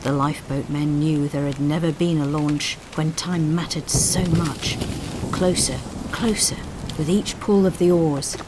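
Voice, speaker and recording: Low-pitched woman narrating a dramatic story. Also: measured pace, very noisy. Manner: expressive, suspenseful storytelling. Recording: storm sound effects behind voice. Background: rain, thunder and storm effects.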